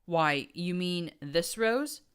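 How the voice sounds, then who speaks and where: A woman's voice: fairly low pitch, expressive, moderate pace, bright, forceful tone. A woman voicing a pompous, deranged undead male pony prince who hisses with false gentility and breaks into screams. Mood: hissing mock-gentle. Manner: hissing, falsely genteel, erupting into screams.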